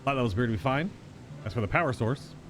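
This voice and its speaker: A man's voice: medium pitch, expressive, fast talker, slight background noise. A man giving wry, rambling live reaction commentary as he plays a game. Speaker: game streamer. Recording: music behind the voice. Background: game music.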